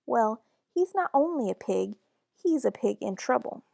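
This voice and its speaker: A woman reading a children's picture book aloud in a deliberate, expressive storytelling manner. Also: a little high, gravelly, dry recording, studio quality. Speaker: storybook reader.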